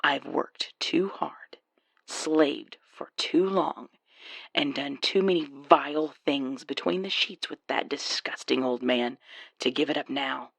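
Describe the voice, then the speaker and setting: A woman playing a scheming, venomous gold-digging wife in an audio drama, with a breathy, gravelly low voice and sneering contempt. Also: slow, dry recording, full character voice. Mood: cold menace. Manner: sneering, threatening, hissed contempt. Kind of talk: audio roleplay acting.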